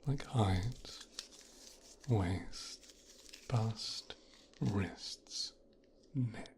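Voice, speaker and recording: A man playing a menacing, possessive, resentful mafia boss's son in an intimate ASMR roleplay, speaking in a breathy, brooding, teasing hush. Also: lowish voice, background noise. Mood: businesslike, coaxing. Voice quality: breathy, whispered. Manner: slow, hushed, brooding, teasing.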